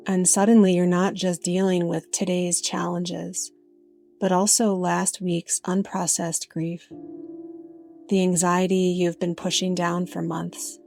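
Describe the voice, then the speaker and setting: A woman narrating a guided meditation in a gentle, unhurried way with frequent pauses. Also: on the low side.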